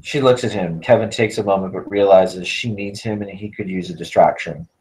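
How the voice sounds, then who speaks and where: Male narrator for screenplay action lines at a table read, notably low-pitched voice. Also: a little fast, darkish tone, low-quality recording. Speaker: stage-direction narrator.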